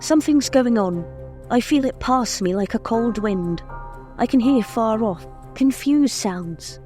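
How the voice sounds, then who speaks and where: A woman's voice: fairly high pitch, animated, a little slow, soft background music. A woman playing an eerie, passive young woman speaking dreamily from a hypnotic trance in a radio drama. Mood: entranced, uneasy. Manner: dreamy, hushed incantation in short phrases. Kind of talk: old-time radio drama acting.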